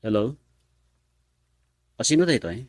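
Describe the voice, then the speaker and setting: A man's voice: medium pitch, big pitch swings, a little slow, dry recording, clean studio sound. An actor playing a lovesick young man, a devoted son, in a melodramatic radio drama. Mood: surprised, friendly.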